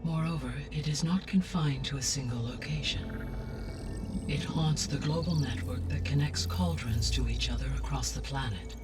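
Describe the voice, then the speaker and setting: An actor playing a calm, grave artificial intelligence in a video game, speaking formally with precise, deliberate diction. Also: male voice, mid-range voice, recorded from a distance, over loud music, lightly acted. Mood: grave, measured. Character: calm male artificial intelligence, grave, all-knowing custodian. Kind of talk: cinematic video game acting, exposition.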